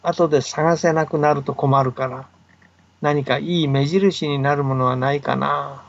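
A man voicing a greedy, gluttonous male crow that quickly turns angry and gruff, heard over a muffled remote line. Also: fairly high pitch, fairly even intonation. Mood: scheming. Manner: gruff, blustering demands.

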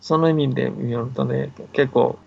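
A man's voice: medium pitch, steady tone, moderate pace, gravelly. An older man who gives casual, patient explanations as a folktale scholar, often checking that the listener agrees. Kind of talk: casual explanatory radio talk about folktales. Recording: dull band-limited audio, faint background noise.

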